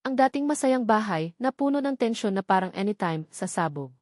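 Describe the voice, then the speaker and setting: A woman reading a scripted first-person dramatic story in measured, short phrases. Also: mid-range voice, lively intonation, a little mellow, dry recording, studio quality. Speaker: story narrator.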